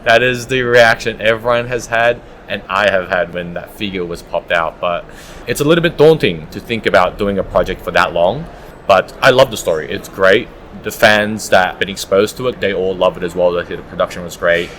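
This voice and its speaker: A man's voice: low voice, fairly quick, a bit bright, a little hiss or hum, low-quality recording. A man giving conversational, explanatory answers as an audiobook producer being interviewed.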